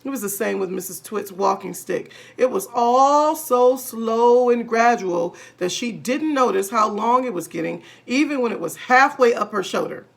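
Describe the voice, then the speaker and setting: A woman narrates a children's book aloud with animated emphasis, talking straight to her listeners. Her voice is on the high side, with plenty of creak. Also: moderate pace, hardly any pauses. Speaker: storybook reader.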